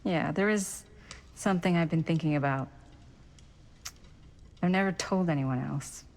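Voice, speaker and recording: An actress playing a frank, reflective middle-aged American woman in a video game cutscene, speaking in a measured, plain-spoken way. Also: sits low, a little mellow. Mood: nostalgic, awed. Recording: music under the dialogue. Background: background music.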